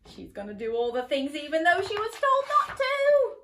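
A woman in her thirties reading a picture book aloud to young children at bedtime, animated and expressive, with playful asides to her listeners. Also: very high voice, normal speed, echoey room, slight background noise. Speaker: children's storyteller. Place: small bedroom, indoors, seated on a bed.

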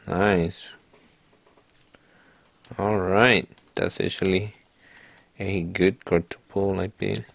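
A man talks slowly and calmly to his viewers, reading off cards one by one as he opens packs. His voice sits low with a gravelly edge, recorded close and dry with no room sound. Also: dry recording. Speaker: card-opening channel host. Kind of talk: casual pack-opening narration.

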